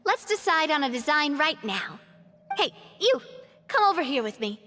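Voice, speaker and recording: A cheerful young woman who runs her own group in a video game, giving orders with easy confidence. Her voice is high and bright, and it swings widely in pitch. The game audio carries a long reverb. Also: sing-song, moderate pace, heavily acted. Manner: upbeat, breezy, takes charge. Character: confident young female boss, cheerful and decisive.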